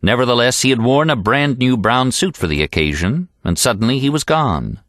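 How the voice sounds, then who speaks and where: A man reading an audiobook in an expressive, animated storytelling style. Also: mid-range voice, brisk, no echo, studio quality. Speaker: audiobook narrator. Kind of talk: expressive scripted audiobook narration.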